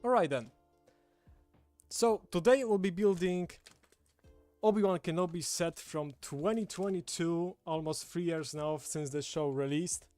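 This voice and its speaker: A man's voice: on the high side, measured pace, darkish tone, no echo. A man from Poland, a native Polish speaker with a Polish accent, chatting casually as he hosts a livestream. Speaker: livestream host. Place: indoors at a table, streaming setup. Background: background music.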